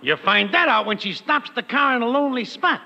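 An actor playing a wary, wisecracking working-class buddy in a 1940s radio comedy, talking slang. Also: male voice, very high-pitched, animated, brisk. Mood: relishing the tale. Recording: noisy, echoing, dull old radio recording.

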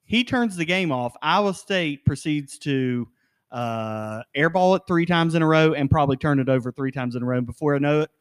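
A man's voice: on the high side, a little fast, clear, resonant tone. A man co-hosting a casual sports chat, teasing his friend as he tells a story.

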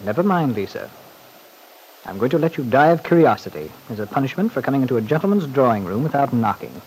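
An actor as wry, harried gentleman, lively delivery. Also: medium pitch, fast, natural voice. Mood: teasing, dismissive. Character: wry, harried, well-off gentleman confiding in his manservant. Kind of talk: old-time radio serial acting. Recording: faintly noisy old radio recording.

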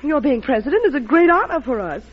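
An actress playing a proud, defensive, loyal wife with emphatic delivery in an old-time radio drama. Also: female voice, high voice, very animated, fast talker. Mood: defensive, indignant. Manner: indignant, emphatic. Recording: muffled vintage radio recording.

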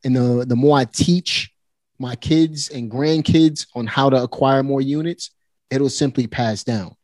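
A man's voice: medium pitch, measured pace, darkish tone, no echo. Forty-year-old man, dry close sound. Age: 40s. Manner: motivational, direct, speaks to listeners. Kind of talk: casual conversation.

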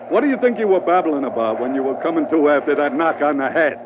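A man's voice: fairly high pitch, animated, brisk, phone-quality sound, natural voice. A man playing a teasing first mate, hesitant and slyly needling, in a 1940s radio drama. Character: teasing, familiar first mate called Red. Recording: noisy band-limited old radio recording.

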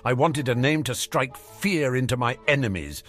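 A man voicing a cold, hateful young dark wizard who speaks with menace and spite. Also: medium pitch, expressive, moderate pace, faint music behind, lightly acted. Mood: spiteful.

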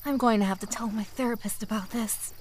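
A woman's voice: fairly high pitch, steady tone, fairly quick, a little hiss or hum, lightly acted. A woman playing a prim, easily outraged lagoon dweller in a fantasy comedy audio drama. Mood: scandalized.

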